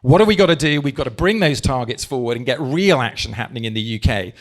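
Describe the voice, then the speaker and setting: A man from England, a party leader, giving a live speech to an audience, talking forcefully with slow, stressed phrases into a handheld mic. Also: mid-range voice, lively intonation.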